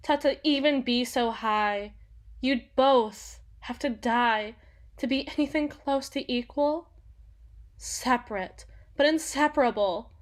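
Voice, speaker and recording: A woman playing a haughty, possessive young empress in a theatrical ASMR roleplay, speaking in an imperious, mocking manner with dramatic pauses. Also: a little high, close to an everyday voice. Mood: bitter, disdainful.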